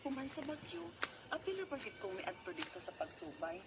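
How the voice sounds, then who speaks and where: Actress as worried Cebuano wife, high-pitched, noisy recording. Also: female voice, very animated, brisk. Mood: sorrowful, recounting. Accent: Filipino, Cebuano. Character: worried wife and letter sender recounting her family's troubles. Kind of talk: dramatized narration in a radio drama.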